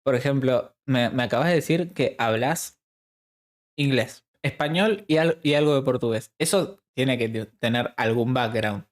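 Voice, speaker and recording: A man with a Rioplatense Argentine accent hosts a relaxed interview stream. He speaks informally and at length, with a self-deprecating streak, in a mid-pitched voice. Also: moderate pace. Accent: Rioplatense Argentine Spanish. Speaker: stream host interviewing a guest. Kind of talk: casual interview chat.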